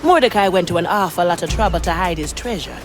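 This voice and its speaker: An actress playing a bold, inquisitive young pirate navigator with a cheeky manner in a video game. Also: female voice, lowish voice, quick pace, music in the background, close to an everyday voice. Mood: curious, probing. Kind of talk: video game character acting.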